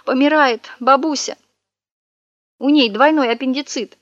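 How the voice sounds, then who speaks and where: A woman's voice: mid-range voice, animated, moderate pace, a bit bright, no echo, natural voice. A woman voicing a sly young male swindler in a storybook reading, whining and melodramatic, heard on a dull, dry recording. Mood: wailing. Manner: wailing, wheedling, melodramatic.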